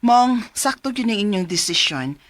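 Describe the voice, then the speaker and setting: A woman acting a professional Cebuano hospital doctor in a radio drama. She explains and advises calmly, at average pitch with lively intonation. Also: moderate pace, no echo, natural voice. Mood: explanatory.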